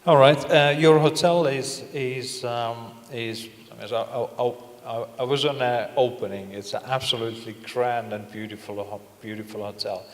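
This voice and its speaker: A middle-aged man moderating a panel, asking questions in a halting way with non-native phrasing. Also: medium pitch, steady tone. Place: large indoor conference stage. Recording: echoey, reverberant conference hall.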